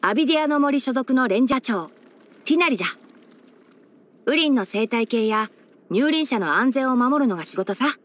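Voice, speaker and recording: A woman voicing a boyish young male fox-eared forest ranger and scholar in an anime game, instructive and frank with a gently teasing manner. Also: sits high, expressive, normal speed, pauses often. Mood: helpful, composed. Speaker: character voice actor. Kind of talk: anime game character voice lines.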